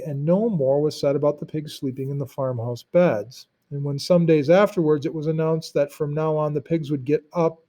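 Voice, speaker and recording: A man narrating a storybook read-aloud in an expressive, teacherly way, with explanatory asides. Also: on the high side.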